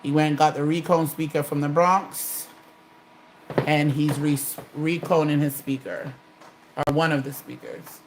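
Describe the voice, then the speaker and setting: A woman's voice: low voice, fairly even intonation, a little slow, slight background noise, low-quality recording. Chatty woman hosting a live stream. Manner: chatty, reads viewer comments aloud. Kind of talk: casual live stream chat.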